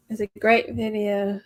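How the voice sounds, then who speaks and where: A middle-aged woman, hosting a casual live stream and chatting with viewers while laughing often. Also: mid-range voice.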